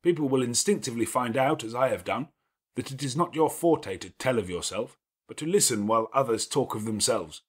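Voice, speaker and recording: A man voicing a brusque, imperious, self-reproaching gentleman in an audiobook of a classic novel, with emphatic, commanding delivery. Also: medium pitch, lively intonation, fairly quick, slightly rough. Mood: earnest, insistent.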